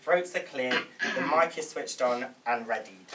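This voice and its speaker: A man's voice: medium pitch, unhurried, a bit bright, distant mic. A man reading screenplay stage directions aloud at a table read. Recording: noisy room, away from the mic.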